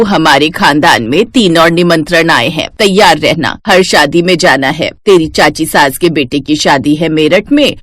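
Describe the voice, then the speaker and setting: A woman's voice: fairly low pitch, no echo. A woman voicing a nagging, middle-aged mother-in-law who lectures about family honor, in a cartoon story. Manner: scolding, lecturing. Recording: dry close recording with faint music.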